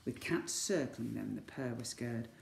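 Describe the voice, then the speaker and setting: A man's voice: mid-range voice, unhurried, vocal fry, a little hiss or hum. A man reading a children's story aloud in a theatrical way. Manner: theatrical, exclaims sound words. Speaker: school story reader. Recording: echoey room.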